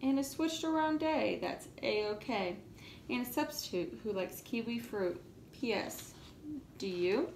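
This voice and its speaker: A woman's voice: fairly high pitch, lively intonation, a little slow, a little mellow. Earnest schoolchild, read by a woman, echoey room. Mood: cheerful, warming up. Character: earnest, indignant young schoolchild writing letters. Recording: echoey room, faint background noise.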